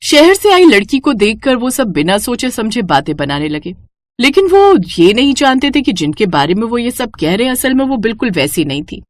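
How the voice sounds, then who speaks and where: A woman narrating a scripted cartoon moral story in an expressive storytelling manner. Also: a little high, moderate pace.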